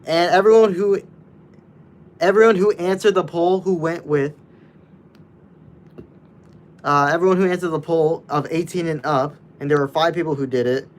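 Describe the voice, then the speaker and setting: Young man, nineteen, livestream chatter, loose and rambling, slightly raised pitch. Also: brisk. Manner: rambling, loose, with many restarts and laughs. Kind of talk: casual livestream chat.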